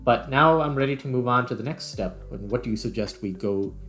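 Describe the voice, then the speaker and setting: A man's voice: mid-range voice, a little fast, a bit bright. A man casually hosting a game development video, thinking aloud in an informal way. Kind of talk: casual screen-recorded tutorial commentary. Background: background music.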